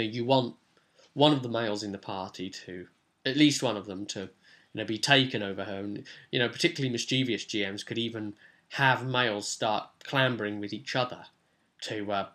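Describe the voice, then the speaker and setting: Casual male game master recapping a session, bright, forward voice, conversational with explanatory asides. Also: mid-range voice.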